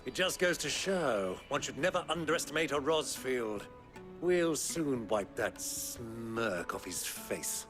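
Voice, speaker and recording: A crafty older British nobleman for a video game. He speaks in lively, sweeping intonation with pompous, ornate phrasing and sly relish, over background music. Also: medium pitch, takes it slowly. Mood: smug, amused. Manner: pompous, florid, sly diction.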